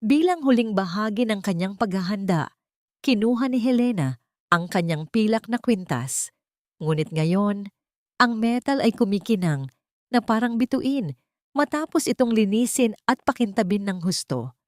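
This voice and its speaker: A woman's voice: mid-range voice, animated, moderate pace, darkish tone, no echo, clean studio sound. A woman narrating a dramatic story from a script.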